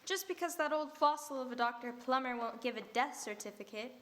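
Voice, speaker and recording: A woman playing a spiteful, sharp-tongued busybody. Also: high voice, lively intonation, fairly quick. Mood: scornful. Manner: scornful and dismissive.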